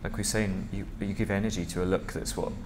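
A man's voice: sits low, fairly even intonation, fast talker, background noise. A man on a fashion panel, speaking hesitantly with many restarts.

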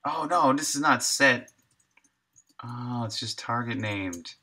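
A man's voice: mid-range voice, takes it slowly, bright, forceful tone. A middle-aged man thinking aloud in short, laid-back fragments as he live-streams his coding work. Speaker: game developer and live streamer. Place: indoor room at a desk, streaming while coding.

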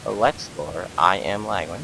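A man's voice: low-pitched, measured pace, vocal fry, slight background noise, low-quality recording. A man hosting a casual group chat over gameplay, joking and teasing his co-hosts. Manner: joking, teasing, loud bursts. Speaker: show host.

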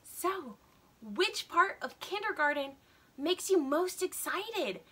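A woman's voice: very high-pitched, very animated, slow, sharp tone, slight background noise. A young woman hosting a children's read-aloud story time, speaking expressively to small children. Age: young adult.

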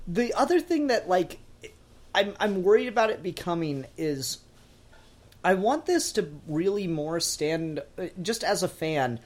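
A man's voice: high voice, animated, unhurried. A man hosting a casual fan review discussion, talking in an enthusiastic, opinionated, analytical way. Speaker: podcast host.

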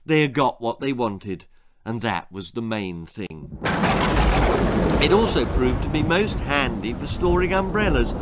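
Expressive older English man reading a story. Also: mid-range voice, very noisy. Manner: theatrical, expressive. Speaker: author reading own children's story. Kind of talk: dramatic storybook narration. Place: small book-lined room indoors.

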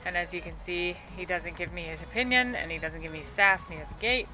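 A woman's voice: fairly low pitch, fairly even intonation, a little fast, over music, poor audio. A young woman in her twenties chatting casually to her phone camera at a barn, talking fondly to her horses between her comments. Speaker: vlogger, horse trainer. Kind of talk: casual vlog chat and voice-over. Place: outdoors at a barn and paddock. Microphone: phone held at arm's length.

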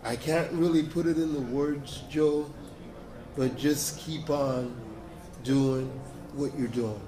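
A man in an audience speaking into a handheld mic in a large convention hall, telling an earnest, unhurried story to a panel. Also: medium pitch, fairly even intonation, crowd noise. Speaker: audience member. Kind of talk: spontaneous speech to a panel.

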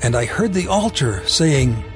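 A man narrating dramatized scripture in a solemn, deliberate manner. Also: medium pitch, sing-song, faint music behind.

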